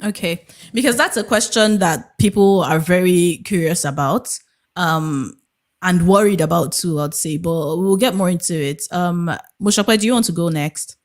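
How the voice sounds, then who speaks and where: A woman's voice: lowish voice, normal speed. A woman hosting a panel discussion, asking questions and handing turns to guests. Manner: inquisitive, steering the conversation. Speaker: host.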